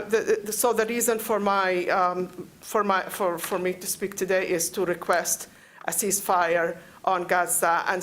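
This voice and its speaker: A woman in her forties from Palestine with a Palestinian Arabic accent, making an unscripted plea at a council meeting, halting and often searching for words. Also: medium pitch. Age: 40s. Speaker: public commenter at a council meeting. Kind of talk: unscripted public speech, pleading.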